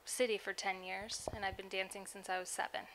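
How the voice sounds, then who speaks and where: A young female dancer from upstate New York speaks at an audience talk. Her pitch is average, and clear noise sits behind her microphone. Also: level tone, a little fast. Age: young adult. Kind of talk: answering questions at a public talk. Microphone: handheld microphone. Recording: noisy outdoor stage recording.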